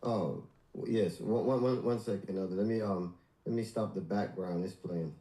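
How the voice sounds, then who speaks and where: A man in his forties from Guyana calls in to a church livestream with a very deep, mellow voice. He speaks deferentially and says sir often. His voice echoes in the room. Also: normal speed. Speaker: caller, church member. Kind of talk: casual call-in testimony.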